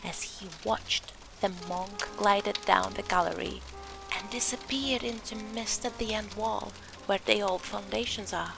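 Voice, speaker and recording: Earnest young ghost believer, music behind. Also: female voice, medium pitch, steady tone, a little slow, gravelly, low-quality recording. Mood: eager, eerie. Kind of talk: audio drama dialogue and storytelling.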